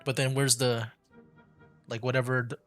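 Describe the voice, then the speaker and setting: A man's voice: mid-range voice, level tone, normal speed, a bit bright. A man streaming a video game, casually chatting and chuckling over the story. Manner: laid-back, chuckling. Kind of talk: casual gameplay commentary. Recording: game music behind.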